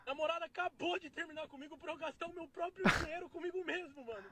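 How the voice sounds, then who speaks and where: A man's voice: extremely high pitch, fast, over a noisy crowd. A young man ranting to the camera in a played clip. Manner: complaining rant to camera.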